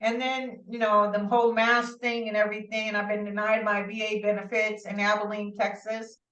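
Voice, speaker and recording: A woman guest telling her story in a rambling, animated way, with many asides and restarts, heard through a remote call. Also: medium pitch, moderate pace. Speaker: interview guest. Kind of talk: casual conversational storytelling. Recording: distant, roomy, dull-sounding audio.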